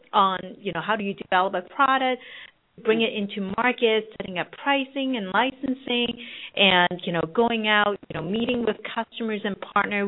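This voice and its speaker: A woman in her thirties from Hong Kong, a marketing director speaking as a guest by phone, relaxed and upbeat with frequent ums. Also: mid-range voice. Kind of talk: phone interview. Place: at home or office on the US West Coast. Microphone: phone handset. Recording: phone line, narrow band.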